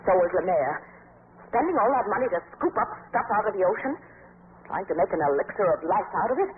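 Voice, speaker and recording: An actress playing a scheming, bitter young heiress in theatrical, sharp-tongued 1940s radio drama style. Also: female voice, mid-range voice, sing-song, fast talker, lightly acted. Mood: scornful. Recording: noisy, tinny 1940s radio recording.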